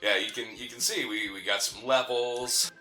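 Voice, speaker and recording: Bright-voiced man bantering in a roomy space. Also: mid-range voice, steady tone, moderate pace. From a city, with mountain family roots. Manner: joking, teasing banter. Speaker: co-host of a gaming let's play show. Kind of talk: casual chat, game commentary. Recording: roomy echo, faint game music behind. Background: faint game music.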